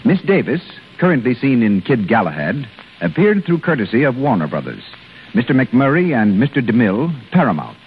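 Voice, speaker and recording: An American male announcer delivers scripted lines in 1930s radio style, with animated rises and falls at a medium pitch. The recording is phone-thin and lightly noisy. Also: fairly quick, phone-quality sound.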